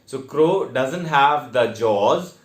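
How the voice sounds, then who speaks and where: A man with an Indian accent giving a theatre lesson in a deliberate, step-by-step way with emphatic stressed words. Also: medium pitch, expressive. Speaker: theatre teacher. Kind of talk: instructional online lesson with demonstrations. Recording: echoey, reverberant room.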